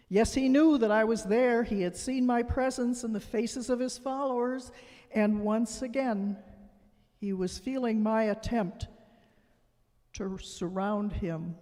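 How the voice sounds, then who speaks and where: A man performing a dramatic church reading as Doubt, a brooding, frustrated tempter, in a deliberate, emphatic delivery. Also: high-pitched, darkish tone. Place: large church sanctuary. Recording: long reverberant church hall echo.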